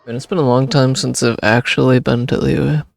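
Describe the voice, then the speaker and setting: A man's voice: medium pitch, fairly even intonation, fairly quick, vocal fry, a little mellow, no room sound, soft background music. A man giving casual, slangy, laid-back reactions as he streams a game. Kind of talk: casual gameplay reaction commentary.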